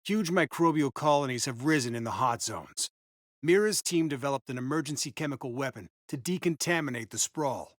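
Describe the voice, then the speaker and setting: An actor playing a steady, encouraging military operative, giving clipped, commanding mission callouts for a video game. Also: male voice, medium pitch, brisk, no room sound, studio quality. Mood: grave, focused.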